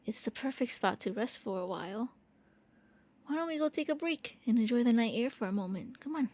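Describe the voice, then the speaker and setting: A woman voicing a gentle, shy vampire prince in an intimate ASMR roleplay, speaking softly and a little hesitantly. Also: a little high, expressive, a little fast. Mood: tender. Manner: gentle, hesitant, intimate, stammering when flustered. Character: gentle, shy, eager young-looking immortal vampire prince. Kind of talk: soft ASMR roleplay monologue.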